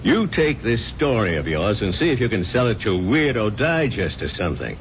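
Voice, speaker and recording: An actor playing a brusque, scornful newspaper chief in a radio drama. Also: male voice, on the low side, sing-song, moderate pace, a little hiss or hum. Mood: gruff. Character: gruff impatient newspaper chief editor. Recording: lo-fi old radio recording.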